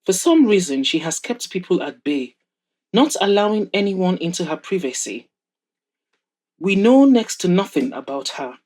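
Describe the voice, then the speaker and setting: A woman's voice: lowish voice, big pitch swings, normal speed, a little mellow. A woman plays a proud, wealthy older mother in an audio drama. She is wry and frank, handing advice to her grown son with a teasing edge. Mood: amused, warning.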